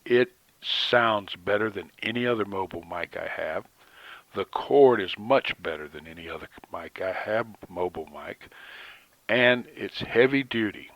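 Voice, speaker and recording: A man giving an informal product demonstration, speaking in a measured, matter-of-fact way as the presenter. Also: on the low side, fairly bright tone, no room sound. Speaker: presenter demonstrating vintage radio microphones.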